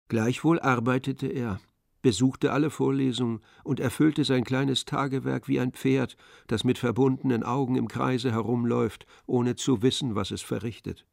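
A man's voice: medium pitch, vocal fry, darkish tone, no echo, studio quality. A man reading literary narration as the storyteller of a radio play.

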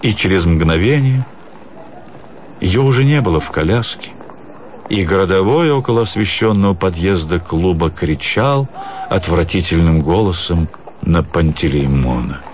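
A man narrating a literary story for radio, with expressive, measured dramatic phrasing. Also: low voice, slight background noise. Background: music.

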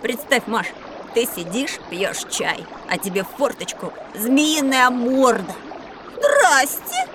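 A woman playing a curious, mischievous young boy in a children's educational audio drama, teasing and excitable. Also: on the high side, slow pace, noisy background. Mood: amazed, teasing.

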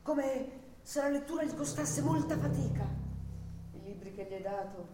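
An actress playing an attentive, obedient female carer in a radio drama, reporting in a measured, deferential way. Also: high voice, animated. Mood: soft, reporting. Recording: echoey sound under loud music. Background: loud instrumental music.

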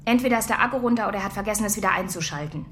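A woman's voice: medium pitch, very fast, a bit bright, a little hiss or hum, close to an everyday voice. An actress playing a worried middle-aged wife and mother who waits for her husband and argues on the phone, in a radio play. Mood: frustrated. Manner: worried, insistent.

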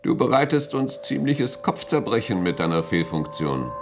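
Deep, gravelly security chief. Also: male voice, sing-song, music in the background. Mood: coaxing. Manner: forceful, argumentative, deliberate. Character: commanding, world-weary male head of internal security. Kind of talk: German radio drama acting.

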